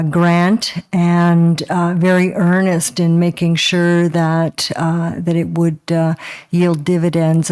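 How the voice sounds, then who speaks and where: A woman councillor giving a hesitant report at a council meeting, full of fillers and pauses. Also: low voice, darkish tone, smooth. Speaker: town councillor.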